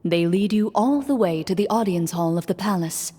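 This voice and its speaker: An actress playing a game master, narrating a fantasy adventure as scripted storytelling. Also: female voice, medium pitch, lively intonation, normal speed, warm tone, close to an everyday voice. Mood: measured narration. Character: female storyteller running a fantasy tabletop adventure. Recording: long hall reverb, music underneath.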